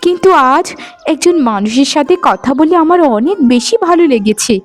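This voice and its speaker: A woman's voice: high voice, expressive, a little fast, soft background music. A woman playing a sweet, lonely and innocent young forest maiden in a fairytale cartoon, speaking earnestly and politely. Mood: tender, musing. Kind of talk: Bengali fairytale cartoon dialogue.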